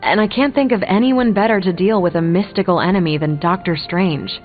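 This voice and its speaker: Game superhero, female actor: loyal, plain-spoken woman, somewhat low voice, phone-like sound with music behind. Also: brisk, close to an everyday voice. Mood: assured, fond. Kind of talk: scripted video game dialogue.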